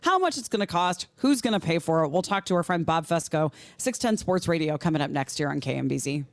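A woman's voice: deep voice, lively intonation, fast, no room sound. A woman with an American accent hosting a radio talk show in a chatty style.

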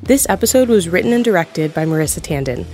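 A woman's voice: fairly low pitch, animated, fast talker, warm tone. A woman announcer reading scripted podcast credits. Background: music.

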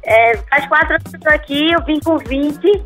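A woman's voice: high voice, normal speed, fairly bright tone, faint music behind. A woman in her twenties calling into a radio show by phone, chatting casually and a little shyly. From Germany. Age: 20s. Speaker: caller. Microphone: phone line.

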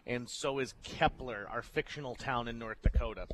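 A man's voice: medium pitch, normal speed, slight background noise, poor audio. A man on a radio show telling stories in casual, jokey banter. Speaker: radio personality and playwright.

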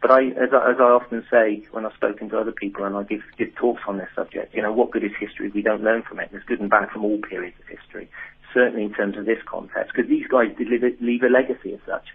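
An English author speaks quickly and with enthusiasm, often circling back to restart his sentences. His voice is low and husky. It comes through a thin, tinny phone line. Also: fairly even intonation. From England. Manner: enthusiastic, talkative, frequent restarts. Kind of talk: phone interview. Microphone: telephone.

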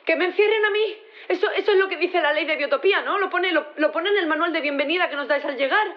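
A woman's voice: very high-pitched, quick pace, lo-fi sound. An actress playing an emotional, insistent, devoted wife in an audio drama, often repeating her words. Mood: desperate, insistent. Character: devoted, pleading wife of a condemned robot.

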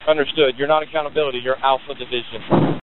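A man's voice: medium pitch, fairly even intonation, quick pace. A man working as a fire incident commander, giving clipped procedural orders over a two-way radio. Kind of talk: terse emergency radio traffic. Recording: noisy narrowband fire radio traffic.

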